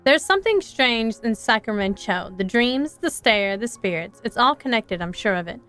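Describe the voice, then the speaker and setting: A woman's voice: on the high side, animated, moderate pace, fairly bright tone. A woman streaming a visual novel, reading it aloud expressively and chatting along, with faint music behind. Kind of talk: live game commentary and dramatic read-aloud of a visual novel.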